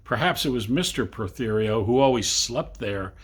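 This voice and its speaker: A man reading a literary story aloud to children, with dramatic, deliberate phrasing and long pauses. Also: mid-range voice, lively intonation, husky. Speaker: reader. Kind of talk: expressive storybook narration for children.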